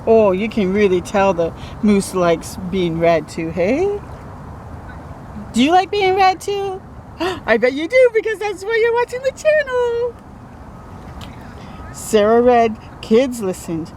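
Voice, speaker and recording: A woman reading a children's picture book aloud as a storytime host, expressive and chatting to young listeners. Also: on the high side, takes it slowly, a little hiss or hum. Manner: expressive, interjects comments and questions to young listeners.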